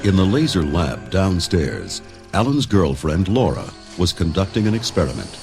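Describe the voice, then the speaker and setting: A man's voice: low-pitched, sing-song, moderate pace, gravelly, music in the background. A man narrating a read-along adventure story in a dramatic, scripted style.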